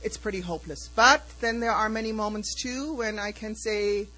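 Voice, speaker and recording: A woman's voice: medium pitch, normal speed, pushed and bright, rough recording. A woman guest speaker frankly and earnestly answering audience questions, with a few fillers.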